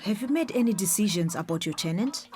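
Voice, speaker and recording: A middle-aged woman with a Zimbabwean accent playing a caring, traditional, gently persistent mother in a radio drama. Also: mid-range voice, expressive, a little fast, slight background noise, lightly acted. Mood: kind, reasoning.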